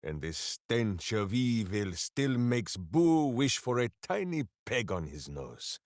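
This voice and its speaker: An actor voicing a big, simple, good-hearted berserker hero in a fantasy video game, bombastic and earnest. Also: male voice, lowish voice, sing-song, takes it slowly, no room sound, studio quality, exaggerated voice. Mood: solemn, wry. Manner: bombastic, earnest, talks of himself in third person.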